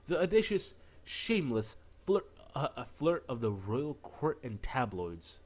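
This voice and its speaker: A man with a mid-pitched voice hosts a casual let's play. He reads the story text aloud as narrator and breaks off into playful, irreverent asides of his own. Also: big pitch swings, unhurried, husky. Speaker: gaming video host.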